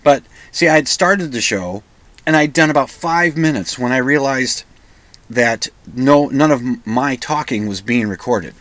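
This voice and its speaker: Slightly croaky man with a cold, hosting. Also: mid-range voice, unhurried, fairly bright tone, no echo. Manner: self-deprecating, digressive. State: has a cold, sniffing, lozenge in mouth. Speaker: radio show host. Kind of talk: rambling casual solo radio talk. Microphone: microphone into recording software.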